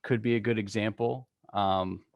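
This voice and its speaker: A man's voice: on the low side, fairly even intonation, normal speed, no room sound. A man speaking informally and agreeably as he explains things to a team in an online meeting. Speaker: real estate trainer co-leading a meeting.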